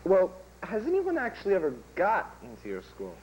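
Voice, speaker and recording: A man's voice: sits high, huge pitch swings, fairly quick, lo-fi sound, a little hiss or hum. A teenage boy interviewing a guest in a school TV sketch, rambling with lots of "um" and "like". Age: teens. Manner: rambling, full of "like" and "um". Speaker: student interviewer.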